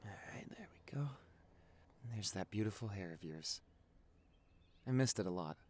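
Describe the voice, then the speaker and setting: A man's voice: on the low side, expressive, darkish tone, slight background noise, natural voice. A man playing a teasing, silly, doting boyfriend who has just woken up, rambling and gushing in an intimate audio roleplay. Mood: tender, adoring. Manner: rambling, gushing, halting with repeated words.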